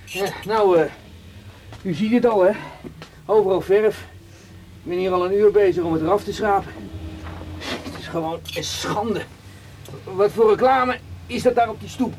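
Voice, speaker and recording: Actor as a high-pitched, indignant, flustered cinema owner. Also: male voice, normal speed, pauses often, a little mellow, lightly acted. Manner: agitated, stammering, repetitive complaining. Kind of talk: radio drama acting. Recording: noisy radio play recording with clicks.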